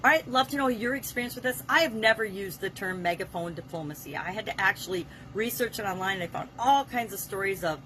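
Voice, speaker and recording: A woman's voice: mid-range voice, animated, fast, hardly any pauses, a bit bright, a little hiss or hum, poor audio. A woman hosting an informal business advice monologue, explaining things with emphatic stress on key words. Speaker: host of a daily business advice series.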